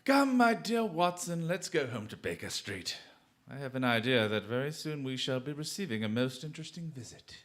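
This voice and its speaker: A man acting an eccentric gentleman detective for a radio play. His pitch darts up and down as he teases drily, with small clipped hesitations. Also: very animated, normal speed, slight background noise, close to an everyday voice. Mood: cheerful anticipation.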